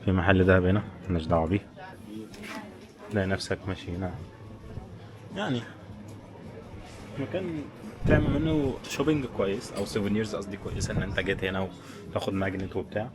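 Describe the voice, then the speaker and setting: A man's voice: low voice, lively intonation, fast, long pauses, over loud music, poor audio. A man with an Egyptian Arabic accent chatting casually as a travel vlogger, giving viewers an informal running commentary as he walks around. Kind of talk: casual walking-tour chat. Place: walking around a tourist village and its shops. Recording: busy crowd and street bustle behind.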